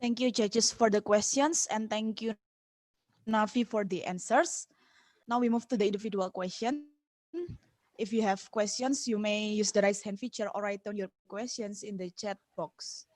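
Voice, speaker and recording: A woman's voice: medium pitch, fairly even intonation, moderate pace, darkish tone, no echo. A young woman moderating an online student seminar, making formal scripted announcements. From Indonesia. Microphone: video call.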